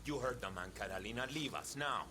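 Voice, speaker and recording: A weary, commanding cartel boss in a video game , played by a man. His exasperated delivery moves up and down a lot, over clearly noisy ambience. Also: mid-range voice, expressive, fairly quick, close to an everyday voice. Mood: irritated. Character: irritated male crime boss wanting peace and quiet.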